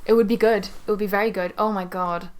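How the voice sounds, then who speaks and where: A woman's voice: mid-range voice, fairly even intonation, quick pace, smooth. A woman in a casual group chat as a podcast co-host, opinionated and sweary.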